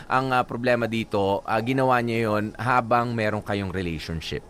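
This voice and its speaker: A man hosting a radio call-in show, introducing the letter sender and giving measured advice. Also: mid-range voice, fairly even intonation, fairly bright tone. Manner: measured, advising, with frequent hums. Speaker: radio host.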